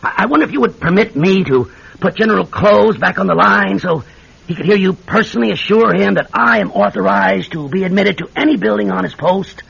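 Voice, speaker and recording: An actor playing a pompous, bullying senior senator with a raspy, blustering delivery in a classic radio drama. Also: male voice, high-pitched, fairly quick, a bit bright, heavily acted. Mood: deferential, flustered. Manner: blustering, imperious. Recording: dull old radio drama recording.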